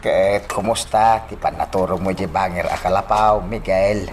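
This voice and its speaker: A man's voice: low-pitched, steady tone, normal speed, a little hiss or hum, lightly acted. A man acting a caring, probing older village father in a radio drama, with an Ilocano Filipino accent. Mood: hearty. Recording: very loud background music.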